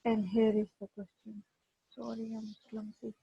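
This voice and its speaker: A woman acting as the examiner in a mock exam, giving brisk directions over a video call. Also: on the high side, flat delivery, vocal fry, mellow, husky, dry recording, highs cut off.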